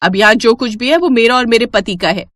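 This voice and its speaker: A woman voicing a harsh, contemptuous young daughter-in-law who scolds loudly. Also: fairly high pitch, brisk, lightly acted. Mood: scornful.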